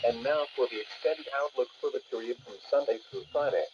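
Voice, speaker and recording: A man's voice: mid-range voice, fast talker. A synthetic male text-to-speech voice reading scripted weather and alert bulletins as an automated announcer over a radio. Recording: muffled, noisy weather radio playback.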